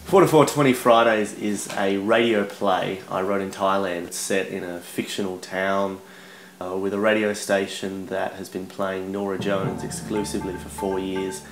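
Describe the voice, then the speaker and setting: Aussie guy doing casual promo chat, lowish voice, bit halting, self-deprecating, guitar playing behind. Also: little pitch movement. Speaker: writer presenting own radio project. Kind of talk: casual promotional talk to camera.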